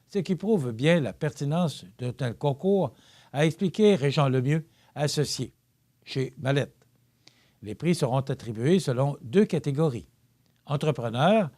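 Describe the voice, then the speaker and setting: A man with a Québécois French accent, anchoring a local news bulletin in a steady, measured read with the odd small slip. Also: a little high. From Quebec.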